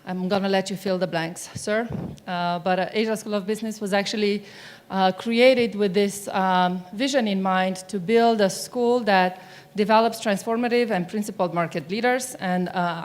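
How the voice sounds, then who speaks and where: A middle-aged woman from Romania giving a conference keynote on stage, chatty and often asking the audience questions. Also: medium pitch. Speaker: keynote speaker. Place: stage of a large conference hall. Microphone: mic on stage.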